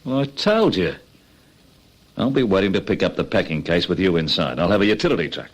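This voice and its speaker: An actor playing a cocky, impatient Australian criminal ringleader in an old radio crime drama, talking colloquially and persuasively. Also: male voice, low-pitched, sing-song, fairly quick. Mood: insistent, scheming.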